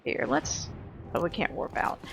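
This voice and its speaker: A woman's voice: moderate pace, fairly bright tone, slight background noise. A woman giving casual video game commentary, thinking aloud in an informal, self-deprecating way. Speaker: video game player and host of a playthrough.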